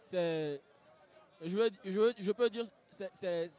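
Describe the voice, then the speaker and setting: A man with an Ivorian accent giving live fight commentary, explaining technique in halting, repeated phrases. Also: high voice, warm tone. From Ivory Coast.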